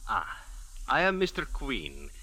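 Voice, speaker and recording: An actor playing a polite, long-winded, inquisitive tourist, with hesitant, ingratiating formal manners, in a 1940s radio drama. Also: male voice, mid-range voice, huge pitch swings, slow pace, bright, forceful tone, husky, no echo, exaggerated voice, rough recording.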